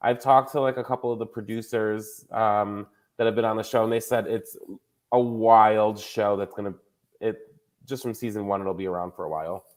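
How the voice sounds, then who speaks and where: A man in his late thirties with a fairly low voice, hosting a casual podcast. He chats loosely and quickly strings thoughts together with lots of filler words. His tone stays relaxed and conversational. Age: 30s. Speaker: podcast host. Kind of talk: casual chat.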